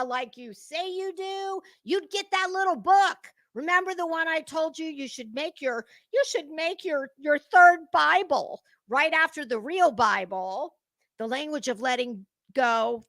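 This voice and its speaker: A woman hosting a gossip commentary show, reading aloud dramatically and commenting with blunt, scornful sarcasm and emphatic, drawn-out words. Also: sits high, pushed and bright, dry recording, studio quality.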